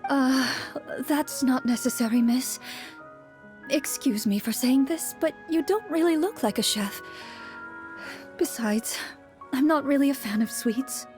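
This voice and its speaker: A woman voicing a troubled townswoman, a worried wife who speaks politely, softly and hesitantly. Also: high voice, expressive, a little slow, soft background music, pitched above the performer's own voice. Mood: awkward, hesitant. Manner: hesitant, soft-spoken, polite.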